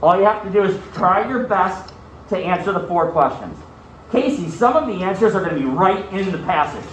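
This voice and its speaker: A man's voice: high-pitched, animated, brisk. A male school teacher giving a classroom lesson in an instructional way, often checking in with short questions. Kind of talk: classroom lesson with read-aloud. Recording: echoey room, far from mic, faint noise.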